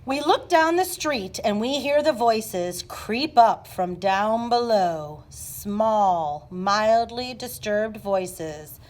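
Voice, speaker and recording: A woman's voice: mid-range voice, expressive, drawn-out words, few pauses, a little mellow. A woman narrator reading scripted stage directions aloud.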